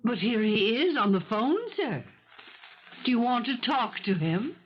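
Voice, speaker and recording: An actress playing a forthright, persistent middle-aged housekeeper in a 1970s radio drama, chatty and formally polite. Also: female voice, fairly high pitch, animated, measured pace, background noise. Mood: polite, businesslike. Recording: dull old radio broadcast recording.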